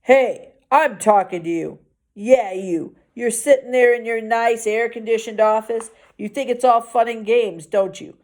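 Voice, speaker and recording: A woman's voice: fairly high pitch, unhurried, a little mellow, voice pushed up, lightly acted. A woman voicing a drunk, bitter and aggressive male security guard in a children's novel reading. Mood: bitter, angry. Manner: slurred, hollering.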